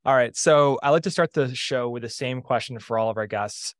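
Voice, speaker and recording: A man hosting a real estate podcast, introducing and interviewing a guest in an upbeat, energetic way. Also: medium pitch, brisk, no room sound. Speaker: podcast host.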